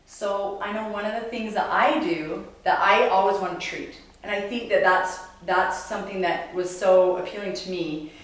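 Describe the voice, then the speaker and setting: A woman's voice: medium pitch, fairly even intonation, normal speed. A woman hosting a casual, chatty interview, speaking enthusiastically and repeating phrases for emphasis. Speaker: host interviewing guests. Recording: very echoey room, distant mic.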